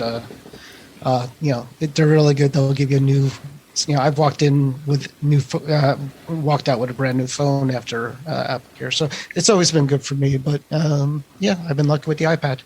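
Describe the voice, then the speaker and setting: Man, medium pitch, podcast co-host, casual, halting, wry, faint background noise. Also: rough recording. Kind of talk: casual tech chat.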